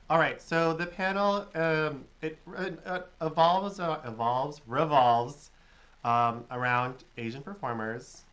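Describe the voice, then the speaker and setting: Host from California, mid pitch, talks casually with lots of ums over a video call. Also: fairly even intonation, takes it slowly, a bit bright, dull audio. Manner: chatty, filler-heavy, upbeat. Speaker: panel host. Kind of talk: casual online panel chat.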